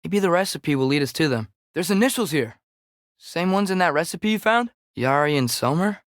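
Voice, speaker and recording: A man's voice: fairly high pitch, expressive, normal speed, no echo, clean studio sound, natural voice. A young man playing an eager, earnest, curious teenage boy, a companion in a video game, fighting beside his father.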